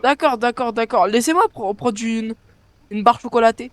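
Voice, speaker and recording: A man's voice: extremely high pitch, normal speed, fairly bright tone, dry recording. A man improvising in a game roleplay, talking in a hesitant, pleading, clownish way. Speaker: gamer playing a stranded police officer.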